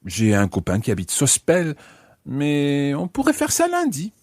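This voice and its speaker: A man's voice: a little high, wildly swooping pitch, unhurried, darkish tone, no echo, clean studio sound, exaggerated voice. A man playing an easygoing tourist on holiday in a scripted lesson radio play, speaking carefully and expressively. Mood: easygoing, thoughtful.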